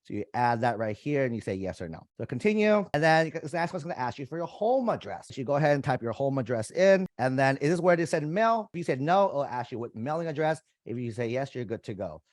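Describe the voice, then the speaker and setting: A man's voice: medium pitch, sing-song, quick pace, hardly any pauses, no echo. Young guy coaching students through a form, first language vietnamese, chatty, mid voice, dry close sound. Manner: casual, conversational, addresses viewers as "you guys". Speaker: college admissions coach. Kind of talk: screen-share walkthrough tutorial.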